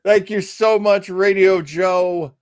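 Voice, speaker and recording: A man hosting a live show in a casual, halting, self-deprecating way. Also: sits high, steady tone, smooth, no room sound, studio quality. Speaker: radio stream host.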